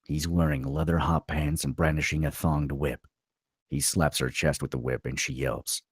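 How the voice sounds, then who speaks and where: A man narrating a screenplay at a table read, with a gravelly, husky voice. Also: very deep voice, flat delivery, normal speed, no echo, studio quality.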